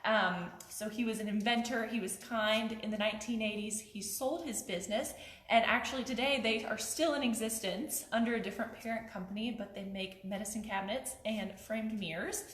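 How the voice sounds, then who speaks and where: A woman's voice: on the high side, fairly even intonation, fairly quick, a bit bright. A woman in her twenties presenting museum artifacts to camera in an informal, chatty, friendly way. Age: 20s. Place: large indoor museum hall. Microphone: handheld phone camera a few feet away. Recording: roomy, echoey phone video indoors.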